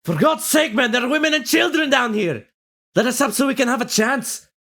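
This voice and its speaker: A man's voice: very high-pitched, a little fast, bright, forceful tone, full character voice. A man playing a protective, fiery young Irishman in an audio drama, speaking urgently and emphatically. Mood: furious, desperate.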